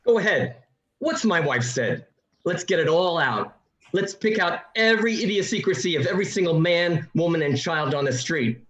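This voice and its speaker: A man's voice: fairly high pitch, animated, normal speed, a bit bright. A man playing an exasperated, sarcastic neighbor arguing for reason in a radio drama, in a roomy home recording. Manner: sarcastic, indignant. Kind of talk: radio play acting.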